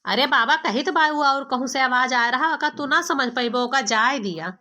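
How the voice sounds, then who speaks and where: A young, easily exasperated village daughter-in-law performed by a woman with a bright, piercing, fairly high voice. She speaks with an Awadhi accent, complaining in dramatic, gossipy cartoon style. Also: moderate pace. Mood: flustered, evasive. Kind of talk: amateur cartoon comedy acting.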